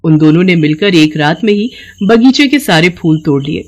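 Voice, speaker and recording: A woman narrating a scripted children's story in an expressive storytelling manner. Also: fairly low pitch, moderate pace, a little hiss or hum.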